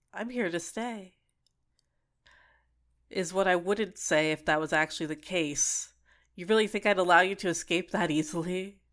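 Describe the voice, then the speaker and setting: A woman's voice: on the low side, fairly bright tone, natural voice. A woman playing an obsessive, sentient dating-sim character in an ASMR roleplay, clingy and coaxing with menacing sweetness. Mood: smug, menacing. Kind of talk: scripted ASMR roleplay monologue.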